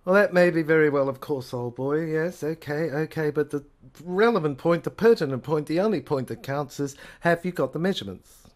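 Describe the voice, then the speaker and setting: Man playing a pompous, lower-pitched jaded lawyer. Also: fairly quick, close to an everyday voice. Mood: condescending. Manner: haughty, rambling, bureaucratic, addresses others as old boy. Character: pompous, cynical state lawyer, jaded old hand. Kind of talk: theatrical audio play monologue.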